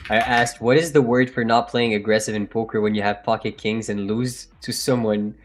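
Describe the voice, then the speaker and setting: Low-voiced French-speaking man chatting. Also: moderate pace. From Algeria. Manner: relaxed, plain wording, restarts. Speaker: trading stream co-host. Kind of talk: casual live-stream chat. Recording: faint background music.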